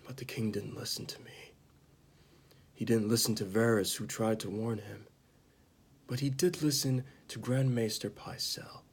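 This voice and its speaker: A man playing a bitter disgraced knight confessing to killing a mad king, in a halting, dramatic amateur monologue that drops into whispers. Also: fairly low pitch, fairly even intonation, husky. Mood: grave, confessional.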